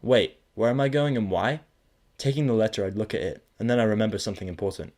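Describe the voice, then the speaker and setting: A man's voice: fairly low pitch, quick pace, a little mellow. A man narrating a scripted first-person audio drama story, also speaking as the main character.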